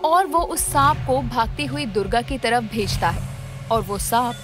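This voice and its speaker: A woman giving dramatic, scripted narration for a children's animated tale. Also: on the high side, unhurried, music in the background.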